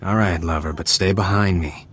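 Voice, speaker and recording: A man's voice: sits low, fairly even intonation, moderate pace, a little hiss or hum, lightly acted. A man playing a wary, protective bounty hunter and devoted lover in a video game. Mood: doting.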